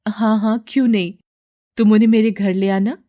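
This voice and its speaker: Dutiful young wife, played by a female narrator; humble, kind, slightly raised pitch, storybook delivery. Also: fairly even intonation, moderate pace, dull audio, close to an everyday voice. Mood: eager. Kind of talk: moral story dramatization.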